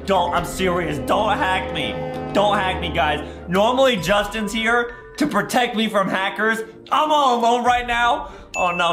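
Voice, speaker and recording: A young man with a high, animated voice hosts a gaming video for kids. He is excitable and theatrical, often shouting. He is recorded in an echoey room over music. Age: 20s. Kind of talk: exaggerated kids' gaming commentary. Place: small room at home, indoors. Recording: roomy echo with loud background music.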